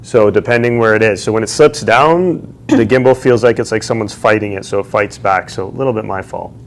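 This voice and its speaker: A man's voice: on the low side, brisk. Man in his thirties, chatty co-host, laughs a lot, lapel mic, showroom, faint hum behind. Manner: chatty, laughs often, animated hand gestures. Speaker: car dealership co-host. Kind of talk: casual livestream chat. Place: large car showroom indoors.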